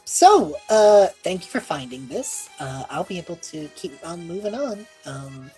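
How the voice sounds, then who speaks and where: Low-pitched woman, improvising casually as a tabletop player, explanatory, faint music underneath. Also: very animated, measured pace, darkish tone, rough recording. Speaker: tabletop role-playing game player. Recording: music playing behind the voice. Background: background music.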